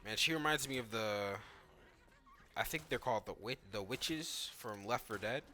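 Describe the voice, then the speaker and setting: Bright-voiced man doing casual game commentary. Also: medium pitch, normal speed. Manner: informal, frequent "like", thinking aloud. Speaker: gaming video creator reacting to cutscenes and gameplay. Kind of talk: casual off-the-cuff gameplay commentary.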